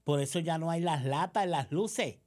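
A woman's voice: sits low, normal speed, darkish tone. A woman with a Puerto Rican accent delivering a combative political monologue, sarcastic and accusatory, full of rhetorical questions. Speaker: political commentator.

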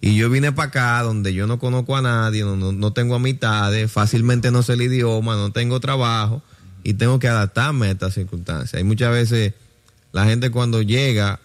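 A man's voice: mid-range voice, fairly even intonation, moderate pace. A man with a Dominican accent talking casually in a group discussion about faith, telling stories and asking rhetorical questions. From Dominican Republic.

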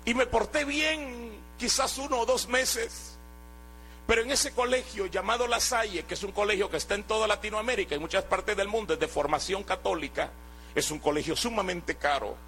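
A man's voice: sits high, moderate pace, sharp tone, slight background noise, rough recording. A man from Guatemala, giving an earnest sermon testimony and often restarting his words. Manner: earnest, exhorting, with hesitant restarts.

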